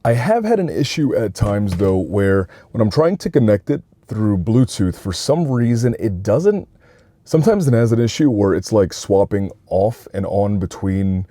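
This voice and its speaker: A man's voice: on the low side, expressive, moderate pace, dark tone, no room sound. A man giving a casual, conversational product review as a tech reviewer, often dropping "like" into his sentences. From Puerto Rican. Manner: conversational, frequent "like" asides.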